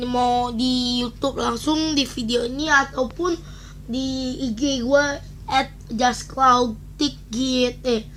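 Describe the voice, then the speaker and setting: A young woman, hoarse today, chatting playfully and quickly to her viewers as a beatbox vlogger. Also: high voice, a little hiss or hum, rough recording. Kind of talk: casual vlog chat.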